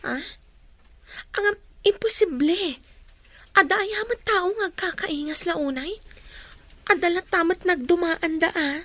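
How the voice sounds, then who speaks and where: A woman's voice: very high-pitched, slow pace, pauses often, sharp tone, exaggerated voice. An actress playing an indignant young woman in a radio drama, huffy and scolding. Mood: wary, sulky.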